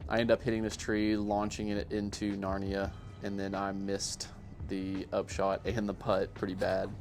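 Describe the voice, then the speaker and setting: A man giving a casual, self-deprecating voice-over commentary on his own amateur sports highlights in a matter-of-fact way. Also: completely flat delivery, moderate pace, darkish tone, faint music behind. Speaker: amateur disc golfer narrating own highlights.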